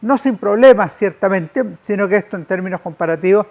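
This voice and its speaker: High-pitched man giving a lecture. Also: darkish tone. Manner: didactic, measured, formal phrasing. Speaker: lecturer, historian. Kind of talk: public lecture on history, with slides.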